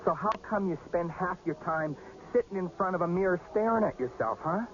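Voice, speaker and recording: An actor playing an exasperated, skeptical small-time carnival hustler in a radio drama, blunt and nagging. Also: male voice, sits high, fairly quick, natural voice. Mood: exasperated, worried. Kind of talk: 1980s radio drama acting. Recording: muffled old radio broadcast.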